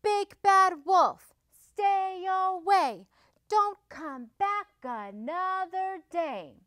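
A woman's voice: extremely high pitch, animated, drawn-out words, a bit bright, dry recording, clean studio sound, voice pushed up, full character voice. A woman voicing little goslings and chicks in a children's story, chanting a sing-song taunt.

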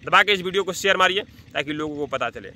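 A man's voice: fairly high pitch, expressive, fast, bright, forceful tone, slight background noise. A man, giving emphatic casual commentary to camera, repeating his phrases, outdoors into a phone at arm's length. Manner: emphatic, repeats phrases, addresses listeners as brother. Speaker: vlogger. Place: open-sided thatched hut by farm fields, outdoors.

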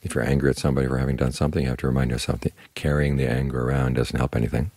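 The very low, husky man you would hear at a morning meditation sitting, calmly guiding everyone in plain words, close and dry in the ear. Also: very fast, no room sound. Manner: calm, instructive, plain-spoken. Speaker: Buddhist monk, meditation teacher. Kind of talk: short spoken Dhamma talk guiding meditation.

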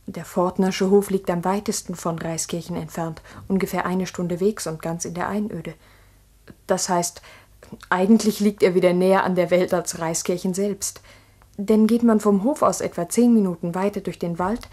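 An actress playing an earnest, strict village schoolteacher in a 1960s radio drama, with a measured, precise, slightly husky voice. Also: female voice, lowish voice, a little mellow. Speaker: actor and first-person narrator. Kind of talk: 1960s German radio drama acting and narration.

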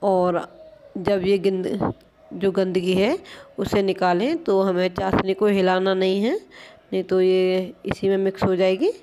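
A woman hosts a home cooking lesson, narrating each step patiently as she works at a gas stove. She talks in a plain, even, mid-pitched voice and keeps drawing attention to what is happening in the pan, recorded on a phone in a home kitchen. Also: unhurried, slight background noise. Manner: patient, explanatory, keeps pointing things out. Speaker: home cooking channel host.

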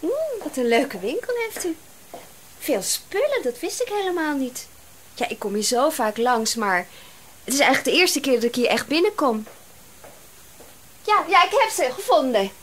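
A woman's voice: high voice, sing-song, normal speed, long pauses, a bit bright, a little hiss or hum. An actress playing a flustered, chatty woman detective of about thirty in a Dutch radio drama. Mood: breathless, friendly. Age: young adult. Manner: chatty, apologetic, exclaiming. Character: flustered, chatty female private detective around thirty, indignant under pressure.

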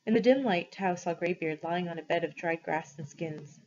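Lady teacher reading a story out, lowish voice, slightly dull audio. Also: normal speed, darkish tone. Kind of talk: expressive story read-aloud.

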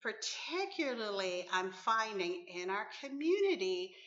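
A woman in her fifties from the Caribbean, hosting and interviewing on a talk show in an emphatic, expressive way. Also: medium pitch, measured pace, echoey room, muffled recording. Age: 50s.

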